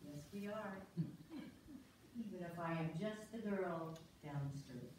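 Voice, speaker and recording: A woman's voice: fairly low pitch, measured pace, a little mellow, close to an everyday voice. A woman playing a devoted, sentimental middle-aged wife in a staged play reading. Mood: doting. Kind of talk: staged reader's theater acting. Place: church sanctuary stage, far camera. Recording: very echoey church hall, far off, clear noise.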